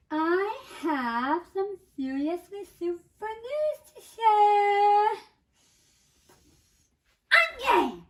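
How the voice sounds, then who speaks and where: A woman performing a theatrical comic monologue in the first person, dramatic and deliberate, with emphatic pauses. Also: high voice.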